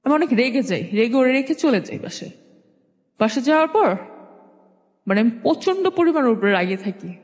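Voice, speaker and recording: A woman's voice: sits high, animated, fairly quick, pauses often. A young woman with a Bangladeshi accent tells a personal story in an informal, conversational way, often quoting exchanges. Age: young adult. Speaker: guest telling their life story. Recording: reverberant hall-like echo.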